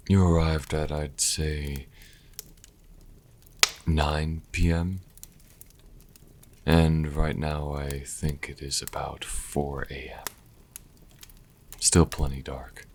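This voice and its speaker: A man's voice: extremely low pitch, slight background noise, heavily acted. A man playing a sassy vampire and reluctant caretaker in an audio roleplay, speaking dryly and sardonically to the listener. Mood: dry, calm. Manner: dry, sardonic, unhurried. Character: sassy male vampire, former thrall, grudging caretaker.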